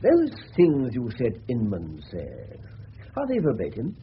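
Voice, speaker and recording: Animated, urbane police detective. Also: male voice, mid-range voice. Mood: courteous, probing. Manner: brisk, clipped, questioning. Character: urbane, courteous, probing senior police detective. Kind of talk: 1970s radio play acting. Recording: muffled, faintly hissy old radio broadcast.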